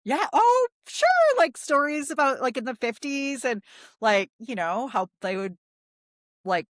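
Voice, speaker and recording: A woman's voice: high-pitched, lively intonation, measured pace, no echo, clean studio sound. A woman in her forties from small-town Wisconsin, a podcast guest chatting casually and saying "like" all the time. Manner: says "like" constantly, chatty. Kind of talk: casual chat.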